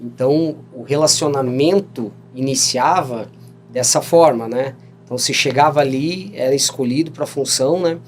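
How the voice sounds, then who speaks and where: A man's voice: mid-range voice, moderate pace, soft background music. A Brazilian man in his thirties, a podcast guest telling stories in a rambling casual way, often adding "né?" and laughing along. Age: 30s. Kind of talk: casual storytelling interview.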